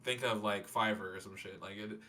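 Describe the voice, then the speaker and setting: Low-voiced man in casual podcast chat. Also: fairly even intonation, a little fast, bright, forceful tone. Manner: informal, slangy, swearing. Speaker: podcast panelist.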